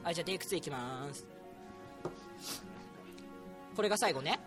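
Animated, fast-talking man hosting casually. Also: fairly high pitch, music in the background. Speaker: host running a radio drama segment. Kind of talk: casual chat.

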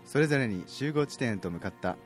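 A man's voice: medium pitch, expressive, fast, soft background music. A man reading narration for an amateur radio drama.

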